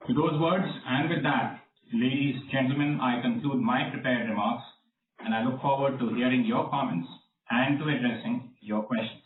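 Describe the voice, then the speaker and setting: A man speaking as a company executive on an earnings call, formal and measured, answering analysts with confidence. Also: mid-range voice, slight background noise. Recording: roomy, distant, tinny phone-band conference line.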